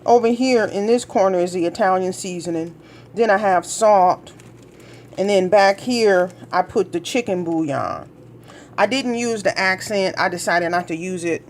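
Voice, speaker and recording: A woman's voice: fairly low pitch, moderate pace, slight background noise. A middle-aged woman from Minnesota giving a chatty, folksy cooking demonstration in her home kitchen, talking straight to viewers. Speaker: cooking vlogger.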